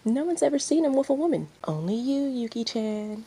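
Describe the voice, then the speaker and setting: A woman's voice: fairly high pitch, big pitch swings, fairly quick, mellow, husky, voice pushed up, full character voice. A woman voicing a smug, spiteful, flirty actress who spreads rumors, over faint background noise.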